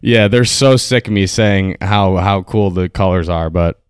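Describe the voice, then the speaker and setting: A man with a lowish, smooth and resonant voice hosts a podcast. The sound is dry and close, and he talks in easygoing, agreeable banter with his guest. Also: unhurried, no room sound. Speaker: podcast co-host. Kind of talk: casual podcast chat.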